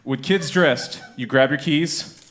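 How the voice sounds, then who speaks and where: A man's voice: a little high, takes it slowly, fairly bright tone. A man narrating a comic radio drama in the second person, playful and wry.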